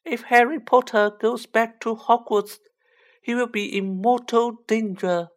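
A woman voicing a small male house-elf for a fantasy audiobook, a squeaky, humble, anxiously devoted servant who pleads with drawn-out emphasis. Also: steady tone, lightly acted. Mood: urgent pleading. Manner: pleading, drawn-out, emphatic delivery in third person. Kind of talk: children's fantasy audiobook character voice.

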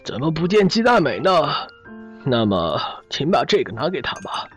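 A man's voice: fairly high pitch, big pitch swings, normal speed, a bit bright, faint music behind. An actor playing a sardonic but courteous man of a wealthy family in an audio drama. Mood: courteous, cautioning.

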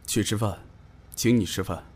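An actor playing a terse, calm, smitten young man in a Chinese audio drama. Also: lowish voice, normal speed, breathy edge. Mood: quietly coaxing. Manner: laconic, understated.

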